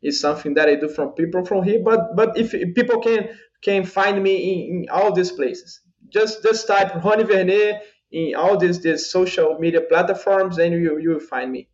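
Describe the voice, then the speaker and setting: A Brazilian man whose first language is Portuguese, a podcast guest answering in halting English, repeating words as he searches for them. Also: sits high, lo-fi sound. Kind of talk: casual interview answers.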